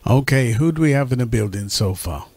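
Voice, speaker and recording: A man hosting a radio talk show, speaking haltingly with many fillers while sorting out call connections. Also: mid-range voice, expressive, darkish tone, no room sound, soft background music.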